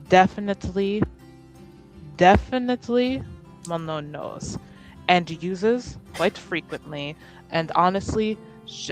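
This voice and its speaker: A player voicing a composed, formal noble who is pragmatic and accommodating, speaking in a measured manner. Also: medium pitch.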